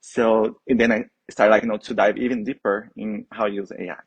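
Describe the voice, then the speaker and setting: A man's voice: on the low side, little pitch movement, brisk, breathy edge, no room sound. A man from Brazil whose first language is Portuguese, a guest talking eagerly in a casual interview and often restarting his sentences. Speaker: guest, HubSpot trainer.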